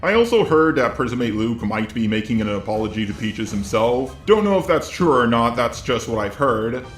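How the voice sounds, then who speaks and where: A man's voice: medium pitch, sing-song, normal speed. Echoey synthetic male robot narrator. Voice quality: synthetic robot text-to-speech voice. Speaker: commentary video narrator. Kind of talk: scripted drama commentary narration. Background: background music.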